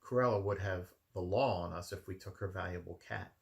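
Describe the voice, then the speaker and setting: Storybook husband, man reading, lowish crackly voice, genial gentleman, dry humour. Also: moderate pace, creaky. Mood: wry.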